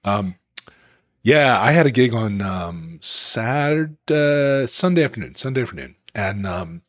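A man co-hosting a podcast, husky from a sore throat, chatting casually and joking at his own expense. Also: medium pitch, animated, unhurried, no echo, studio quality. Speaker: podcast co-host and musician.

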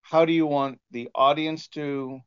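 A man speaking as a composer and sound engineer, explaining his approach in a halting, informal interview manner. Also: fairly high pitch, steady tone, fairly bright tone, clear, resonant tone. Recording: dull lo-fi audio.

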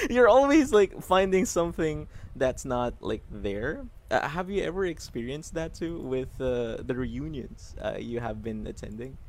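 A man's voice: mid-range voice, animated, unhurried, hardly any pauses. A man hosting a casual podcast chat, laughing often and slipping into playful quoted asides. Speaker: podcast host.

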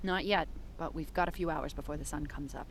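An actress in an audio drama playing a world-weary female police detective, terse and understated, with a low voice. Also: fairly even intonation, a little fast, slight background noise, rough recording. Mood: businesslike, grim.

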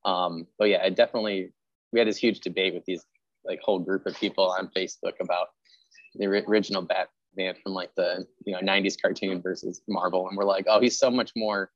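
A man's voice: deep voice, steady tone, brisk, dry recording, highs cut off. A man hosting a casual interview, chatting in an agreeable, rambling way with lots of filler words. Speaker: podcast host interviewing a writer.

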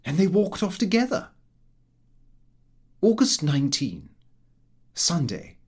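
A man reading a comic first-person diary as an audiobook, in a prim, expressive, self-important manner. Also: high-pitched, slow pace, breathy edge. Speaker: audiobook narrator.

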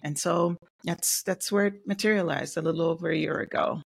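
A middle-aged woman with a light Jamaican accent chats as an interview guest. She speaks in a friendly, measured way and pauses often to find her words. The sound is dry and close, with no room echo. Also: fairly low pitch, fairly even intonation, creaky, slightly rough. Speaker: guest, web designer and digital marketer. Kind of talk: casual interview chat.

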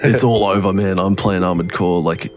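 A man with a croaky, strained voice, co-hosting a podcast in casual, sweary, enthusiastic music review chat. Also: sits low, moderate pace. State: voice strained from singing. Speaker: podcast co-host.

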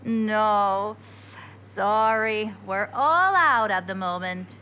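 A woman's voice: medium pitch, very slow, slight background noise. A woman acting in an audio drama as a chirpy diner waitress with singsong customer-service patter. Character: chirpy, unhurried late-night diner waitress. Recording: dull audio with faint room ambience.

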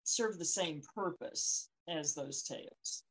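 A woman co-hosting a podcast, speaking conversationally with frequent ums and pauses. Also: sits low, a bit bright, low-quality recording. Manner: hesitant, lots of ums and pauses. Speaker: podcast co-host. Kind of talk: conversational discussion of folklore.

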